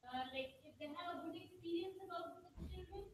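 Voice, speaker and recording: High woman speaking far off in an echoey hall. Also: brisk. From India. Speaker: audience member. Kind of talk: answering from the floor. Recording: echoey distant room mic with hiss.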